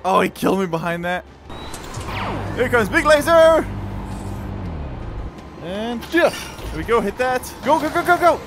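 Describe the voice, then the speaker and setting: Male gamer, exclamatory live commentary, very lively pitch swings, unhurried overall pace. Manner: exclamatory, short self-directed bursts. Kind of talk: excited live gameplay commentary. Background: game music.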